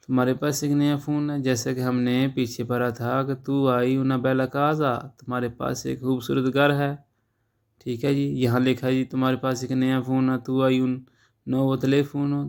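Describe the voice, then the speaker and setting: A man giving a language lesson at an unhurried pace. His voice stays level with little rise and fall. He explains step by step and keeps checking that his listeners follow. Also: mid-range voice, darkish tone, clean tone. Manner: patient, repeats phrases and checks in often. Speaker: language teacher.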